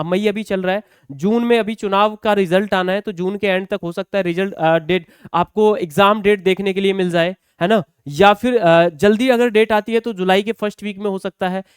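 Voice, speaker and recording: A man's voice: high voice, clear, resonant tone, no echo, clean studio sound. A man explaining exam news to students as a coaching teacher, conversational and often checking in with short tag questions.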